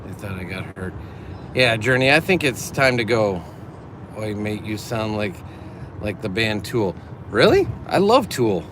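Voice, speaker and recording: A man's voice: on the low side, big pitch swings, a little slow, background noise. A middle-aged man hosting a casual livestream from a car, reading out viewer comments in a chatty, upbeat way and laughing easily. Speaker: livestream host.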